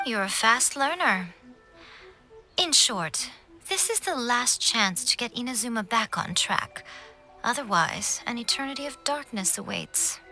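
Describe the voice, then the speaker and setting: A woman voicing a sly, poised fox spirit in a video game, speaking in a measured, formal, teasing way with silky self-assurance. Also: on the high side, lively intonation, pushed and bright, heavily acted. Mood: coolly persuasive. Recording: music under the voice. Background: background music.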